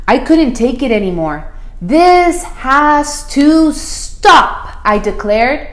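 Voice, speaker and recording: A woman with a fairly high voice reads aloud to children in a roomy, echoing space. She goes slowly and deliberately, with expression, like a principal sharing a picture book with young pupils. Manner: expressive, deliberate, asks listeners questions. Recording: roomy, echoey room sound.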